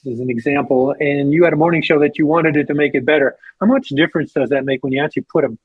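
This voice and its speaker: Husky male interviewer on dull audio. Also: fairly high pitch, fairly even intonation, fast talker, dry recording. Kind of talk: casual conversational interview.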